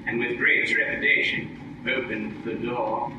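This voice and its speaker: A man narrating a live old-time radio play with a measured, dramatic storytelling delivery. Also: medium pitch, huge pitch swings. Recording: echoey hall from far off, loud music.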